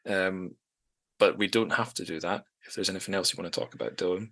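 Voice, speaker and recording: A man with a fairly low voice hosts a podcast. He talks casually and informally, with the odd swear word, as in a relaxed discussion among acquaintances. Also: brisk, gravelly. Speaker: podcast host. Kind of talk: casual chat.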